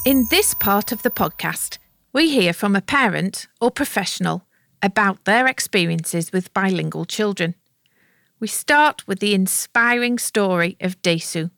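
A woman's voice: mid-range voice, expressive, measured pace, gravelly, fairly bright tone, dry recording, studio quality. A woman hosting a podcast about bilingual children, reading a short intro and chatting with casual "you know"s. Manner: conversational, fillers like "you know". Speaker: podcast host. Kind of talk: scripted podcast intro and conversation.

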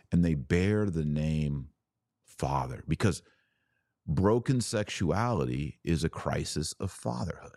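A man hosting a religious podcast, preaching in an earnest, emphatic way with deliberate, broken-up phrasing. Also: deep voice, a little mellow, dry recording, studio quality. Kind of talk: preachy video commentary.

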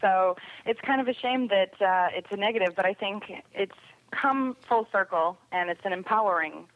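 A woman's voice: mid-range voice, moderate pace, phone-quality sound. A woman chatting casually as a returning guest on a podcast. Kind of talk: casual chat.

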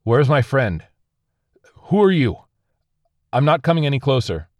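A man's voice: fairly low pitch, moderate pace, darkish tone, no room sound, voice pushed up, lightly acted. A man voicing an injured, disoriented crash survivor in a narrated story, questioning urgently and in bewilderment. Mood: urgent, anxious.

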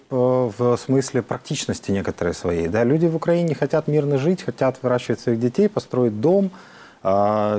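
A man's voice: medium pitch, warm tone. A man from Ukraine, a member of parliament answering interview questions in an earnest, explanatory way, with frequent hesitations.

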